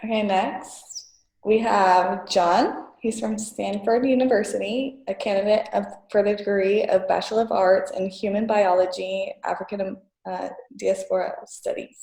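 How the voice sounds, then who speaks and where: A woman introduces panelists on a video call, friendly and a little hesitant, with filler sounds between phrases. Her voice is dark and gravelly. The room around her rings with echo. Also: mid-range voice, fairly even intonation, a little slow. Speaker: moderator. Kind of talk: casual panel moderating.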